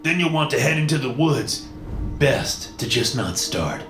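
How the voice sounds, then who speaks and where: A man's voice: medium pitch, animated, unhurried, echoey room, music in the background, voice pushed up, close to an everyday voice. A man voicing a frightened, tearful middle-aged father, raw and strained, in an audiobook. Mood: stern.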